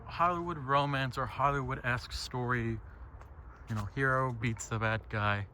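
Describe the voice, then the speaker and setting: Bright-voiced man rambling thoughtfully outdoors. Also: mid-range voice, fairly even intonation. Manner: halting, thinking aloud, mild swearing. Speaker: solo vlogger. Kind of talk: rambling, reflective casual monologue. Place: outdoors, walking on a trail by a lake. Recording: outdoor ambient noise.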